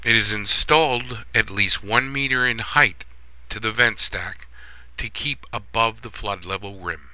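A man giving a scripted plumbing lesson as a voice-over instructor, reading in a measured, steady way. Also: fairly low pitch, no room sound, low-quality recording.

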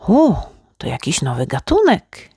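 Woman as musing male painter, very lively intonation. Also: fairly low pitch, a little slow, husky, dry recording, studio quality, full character voice. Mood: curious. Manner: musing, exclaiming to himself. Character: male painter, chatty, picky but kindly artist.

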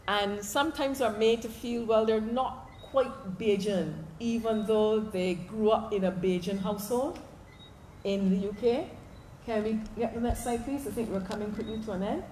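A Barbadian woman giving a public lecture into a stand mic on a hall stage, animated, slipping into local dialect at times. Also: medium pitch, a little hiss or hum. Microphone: stand mic, filmed from the audience. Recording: echoey hall, faint ambience.

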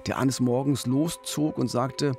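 Male podcast host, middle-aged, conversational crime storyteller with fry in the voice, studio mic, light music underneath. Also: medium pitch, fairly even intonation, a little slow, warm tone. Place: small radio studio. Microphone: close studio boom mic with pop filter.

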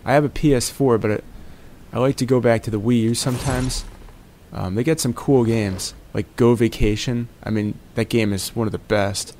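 A man's voice: medium pitch, steady tone, moderate pace, darkish tone, a little hiss or hum. A man in his forties giving playful gameplay commentary in a jokey morning-DJ manner, wandering off on tangents. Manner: jokey morning-DJ banter, calls viewers "baby" and "my friends". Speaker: gaming commentator.

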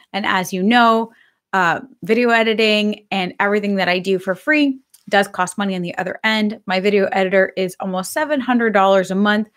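Chatty woman host, slightly hoarse. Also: mid-range voice. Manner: upbeat, chatty, says she is excited. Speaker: pet grooming business host. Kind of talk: casual live-stream talk. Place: home office with bookshelves, indoors.

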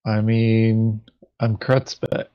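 A man thinking aloud haltingly as he plays a tabletop game in casual chat. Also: fairly low pitch, level tone, smooth. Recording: dull lo-fi voice chat audio.

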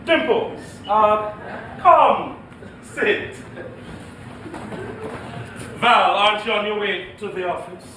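A distant, very echoey theatre recording. a placating husband with a high, animated voice, hesitant and conciliatory in stage comedy style. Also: male voice, slow pace, long pauses, noisy background. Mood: genial, flustered. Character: genial, placating husband smoothing things over. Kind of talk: comedic stage play acting. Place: theatre stage, far mic.

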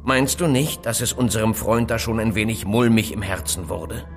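An actor playing an evasive, cautious phone inventor in an audio drama, speaking politely and deliberately, with rhetorical questions. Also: male voice, fairly low pitch, faint music behind, natural voice. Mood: knowing, insistent. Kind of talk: German audio drama dialogue acting.

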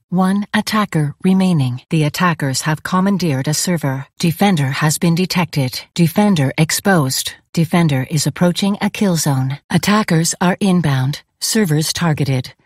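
Impersonal ai security announcer for a scifi game voiced by an actor, clipped and formal, mid pitch, dry sound. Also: normal speed, few pauses, no echo, lightly acted.

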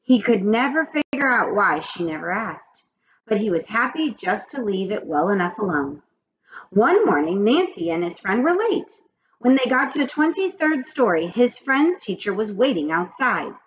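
A woman in her forties, a teacher, reading a children's chapter book aloud in an expressive, animated way at a webcam in a small home office. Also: mid-range voice, normal speed. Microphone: webcam at desk. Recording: dull lo-fi webcam audio.

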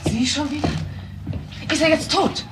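An actress playing a bitter, irritable wife and mother in a crime radio play, impatient and sharp-tongued. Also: high voice, a little slow. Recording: faint background noise.

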